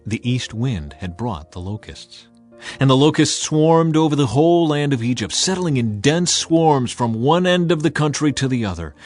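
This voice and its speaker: A man narrating a dramatized scripture audiobook in a solemn, emphatic storytelling manner. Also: medium pitch.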